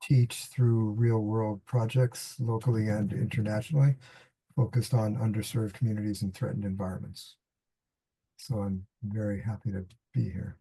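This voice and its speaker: A man's voice: lowish voice, little pitch movement, normal speed, gravelly, warm tone, slightly rough, poor audio. A man who directs a university program, introducing himself and chatting casually on a video call. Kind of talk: casual self-introduction and chat.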